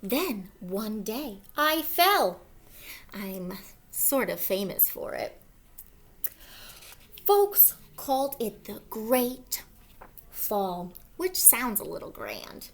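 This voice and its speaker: A woman voicing an anxious, wistful egg who tells his own storybook tale in an earnest, expressive way. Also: medium pitch, drawn-out words, pauses often, heavily acted. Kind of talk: picture-book read-aloud.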